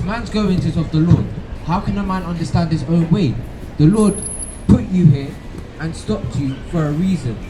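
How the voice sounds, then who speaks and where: A man preaching outdoors, emphatically teaching and calling out scripture for others to read. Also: high voice. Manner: emphatic, instructing, calls out scripture. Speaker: street preacher. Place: outdoors on a busy street. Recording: loud street traffic noise.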